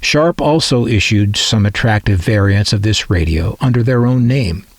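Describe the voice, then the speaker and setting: A man's voice: lowish voice, moderate pace, no room sound. An American man from the Midwest narrating a scripted piece as a collector and presenter, with a wry, opinionated way of talking. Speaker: vintage radio collector and presenter.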